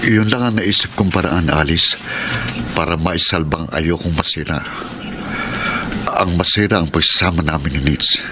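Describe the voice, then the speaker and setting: A deep, earnest voice of a worried husband, played by a man in an old-style radio drama. He speaks in a troubled, confiding way. The broadcast carries steady background noise. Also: normal speed, long pauses, close to an everyday voice. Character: worried young husband suspecting his wife. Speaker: narrator of his own story. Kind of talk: classic radio drama acting.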